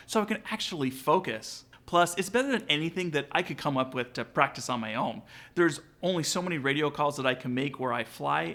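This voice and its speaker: A man's voice: a little high, fairly bright tone. A man narrating a scripted but casual tutorial as a vlog host, speaking directly to viewers. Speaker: aviation vlog host, student pilot. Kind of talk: upbeat scripted tutorial narration with sponsor read.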